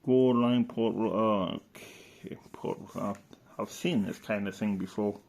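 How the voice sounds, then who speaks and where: A man with a slightly deep, noticeably creaky voice talks casually as he browses and reads from a catalogue. He rambles and hesitates, thinking aloud between items. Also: normal speed, darkish tone. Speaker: video presenter. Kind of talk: casual commentary while reading through an old catalogue.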